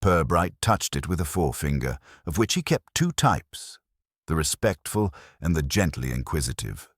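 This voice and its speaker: Very deep-voiced male storyteller. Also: sing-song, normal speed, dry recording, studio quality. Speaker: narrator of a mystery story. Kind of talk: scripted storytelling narration, wry English period mystery.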